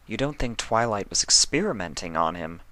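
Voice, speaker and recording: A man voicing a gentle, concerned adult pony princess, an elder sister, in a soft-spoken, questioning manner. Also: fairly low pitch, animated, a little fast, no echo. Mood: puzzled.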